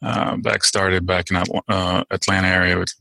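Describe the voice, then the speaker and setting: A man's voice: sits low, level tone, normal speed, vocal fry, pushed and bright, no room sound. A middle-aged man from Turkey, answering interview questions in a casual, hesitant way with many fillers and restarts. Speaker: podcast guest.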